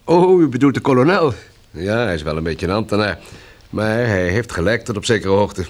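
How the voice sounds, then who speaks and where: A man's voice: on the low side, animated, fairly quick, lightly acted. An actor playing a polite, reasonable man who helps organise a group of survivors in a radio drama. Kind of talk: Dutch radio drama acting.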